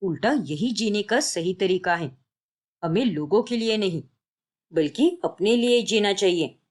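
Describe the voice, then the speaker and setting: A woman's voice: mid-range voice, lively intonation, measured pace, darkish tone. A woman voicing an offended young husband in a cartoon story, firm, reproachful and demanding. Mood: firm, angry.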